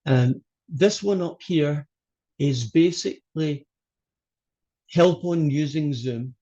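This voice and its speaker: A man's voice: a little high, takes it slowly, mellow, breathy edge, highs cut off. A Scottish man, a college math instructor, introducing his course over a video call in a chatty, joking way. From Scotland. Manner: chatty, joking, loose with fillers. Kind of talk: online class introduction.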